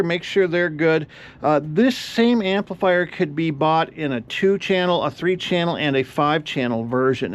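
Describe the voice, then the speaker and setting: A man giving a casual hands-on repair walkthrough, explaining each step aloud as he works. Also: a little high. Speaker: host of an electronics repair channel.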